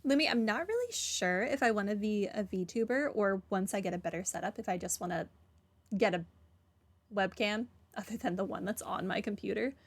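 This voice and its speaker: A woman chatting casually as she streams a game, musing in hesitant, halting phrases. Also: mid-range voice, dry recording. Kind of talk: casual playthrough commentary, chatting to viewers.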